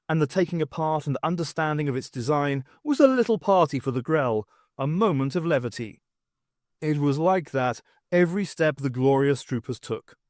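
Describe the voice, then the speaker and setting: Higher-pitched man narrating a story. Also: a little mellow, no echo, clean studio sound. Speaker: audiobook narrator. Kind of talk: scripted story narration with character voices.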